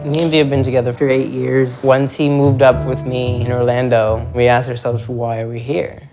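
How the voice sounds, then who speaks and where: A man's voice: mid-range voice, level tone, moderate pace. A young man from South Florida speaking reflectively and earnestly in a documentary interview. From South Florida, United States. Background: soft music score.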